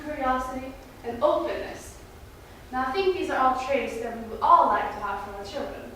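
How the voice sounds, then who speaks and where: A woman born in India, whose first language is Hindi, giving a persuasive class speech and putting questions to her classmates. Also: sits high. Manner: addresses the audience with questions. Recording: echoey room from far off, faint noise.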